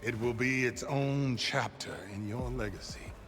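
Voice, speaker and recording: An actor playing a formal, deferential attendant to a lord in theatrical video game dialogue. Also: male voice, medium pitch, fairly even intonation, a little slow, natural voice. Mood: flattering, deferential. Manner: obsequious, formal. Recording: long hall reverb, music behind.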